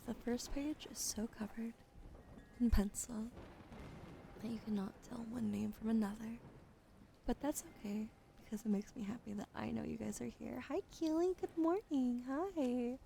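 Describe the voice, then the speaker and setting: Asmr girl whispering real slow, bit of vocal fry, hiss in the background. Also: on the high side. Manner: soft whispers, drawn-out spelling of follower names; slow and gentle, swears and laughs in between. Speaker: ASMR streamer. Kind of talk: ASMR whispering to a live stream chat. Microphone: studio mic.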